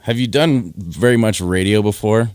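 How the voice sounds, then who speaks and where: Male radio host, low-pitched, joking and upbeat, dry close studio sound. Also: normal speed, darkish tone, clean tone, no room sound. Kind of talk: casual radio interview.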